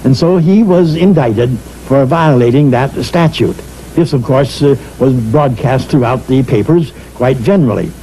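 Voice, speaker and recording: An older man recounting past events in a measured, hesitant way, with frequent pauses and uh's. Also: medium pitch, noisy background. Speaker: storyteller recalling history. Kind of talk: spoken historical recollection.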